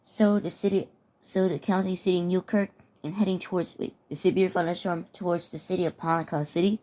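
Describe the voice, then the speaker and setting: A woman's voice: fairly low pitch, little pitch movement, brisk, dark tone. A woman reading mock severe weather warnings aloud with her own commentary, often stumbling over and repeating words. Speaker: mock emergency alert narrator.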